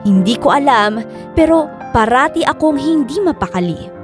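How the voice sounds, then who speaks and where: An actress with a Filipino accent playing a remorseful young woman who narrates her confession in a measured, sorrowful way for a radio drama. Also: high voice, animated. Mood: troubled. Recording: piano music behind the voice.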